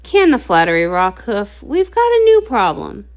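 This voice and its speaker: A woman's voice: mid-range voice, huge pitch swings, moderate pace. A woman voicing a commanding, no-nonsense female pony guard captain in a storybook, brusque and skeptical. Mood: stern.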